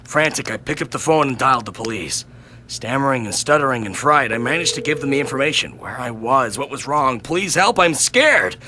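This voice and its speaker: A man reading a first-person horror story in an intense, theatrical manner that swings between hushed and loud. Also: medium pitch, a little fast, slight background noise. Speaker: narrator and reader of a horror story.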